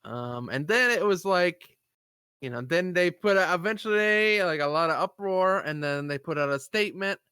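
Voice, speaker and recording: A man's voice: sits high, expressive, normal speed, pushed and bright, smooth, dry recording, clean studio sound. A man co-hosting a live stream, chatting casually about gaming news in a rambling way. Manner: rambling, lots of fillers and agreement. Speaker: podcast co-host. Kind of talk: casual chat.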